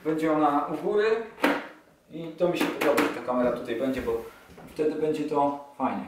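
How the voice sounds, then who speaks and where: A man's voice: a little high, a little fast. Chatty man in an echoey room. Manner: chatty, enthusiastic, thinking aloud while handling gear. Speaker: motorcycle vlogger. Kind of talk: casual unboxing vlog. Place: small indoor room, seated at a table. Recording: echoey room, distant camera mic, audible handling noise.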